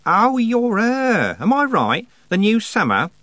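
A man's voice: a little high, wildly swooping pitch, moderate pace, fairly bright tone, no echo, voice pushed up. A man voicing a skinny, tired goddess woman with an offhand, wry manner in an audiobook. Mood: surprised. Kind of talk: audiobook character voice.

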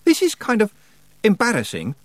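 Guy doing a nervous young lad, high strained voice, earnest. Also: wildly swooping pitch, normal speed, no room sound. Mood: anxious. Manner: anxious, earnest.

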